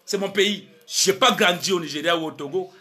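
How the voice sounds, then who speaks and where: A man's voice: sits high, moderate pace, background noise. A middle-aged man from Cameroon hosting a live stream, ranting angrily and emphatically at his critics, then fumbling and muttering. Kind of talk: heated live-stream rant to viewers.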